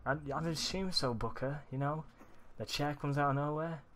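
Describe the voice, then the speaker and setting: Groggy young Mancunian gamer. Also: male voice, normal speed, a little hiss or hum. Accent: Manchester English. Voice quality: groggy, deep morning voice. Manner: rambling, excitable, swears often. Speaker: let's play gamer. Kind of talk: casual gameplay commentary.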